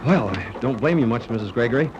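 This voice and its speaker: A man's voice: medium pitch, expressive, quick pace, highs cut off, lightly acted. A man acting a dry, wry, dependable rescue-boat pilot in a 1930s radio serial. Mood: wry, brisk. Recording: noisy old 1930s radio recording.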